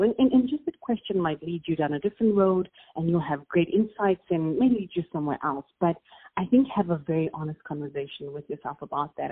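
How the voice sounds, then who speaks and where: A woman's voice: fairly low pitch, breathy edge. A woman from South Africa with a South African accent, a business founder answering interview questions in an earnest, reflective way. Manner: earnest, reflective, with fillers and restarts. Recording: tinny phone line.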